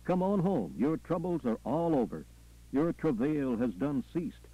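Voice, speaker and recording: Fervent preacher, muffled recording. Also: male voice, a little high, sing-song, measured pace, breathy edge, voice pushed up, heavily acted. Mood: exultant, urgent. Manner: folksy, rhythmic repetition with punctuating "aha" and "ah, well". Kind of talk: call-and-response funeral sermon.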